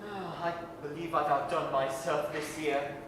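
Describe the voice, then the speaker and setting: A performer plays a cheerful, affectionate Victorian father in a radio-style drama, grandly toasting and soothing the family. The voice sits back from the mic in a booming, echo-filled hall. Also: steady tone, measured pace, fairly bright tone, lightly acted. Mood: jovial. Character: jovial, doting Victorian father, humble clerk Bob Cratchit. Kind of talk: 1930s radio-style staged reading. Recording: echoey hall, distant mic, faint noise.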